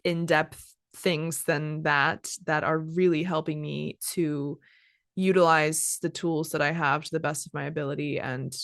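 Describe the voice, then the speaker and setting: Woman, twenties, English teacher, relaxed podcast chat, filler-heavy, explains vocabulary, lowish voice, dry sound. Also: vocal fry, no room sound. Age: 20s. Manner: chatty, many "like" and "kind of" fillers, pauses to define words.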